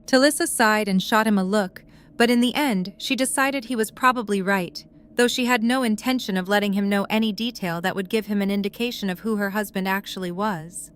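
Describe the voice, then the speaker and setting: Woman narrator, medium pitch. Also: fairly quick, smooth. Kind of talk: scripted third-person story narration. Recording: faint background noise.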